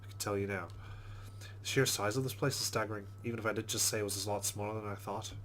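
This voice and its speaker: A man's voice: lowish voice, steady tone, quick pace, a bit bright, a little hiss or hum. A man hosting a let's play, giving casual game commentary and reading the game's text aloud.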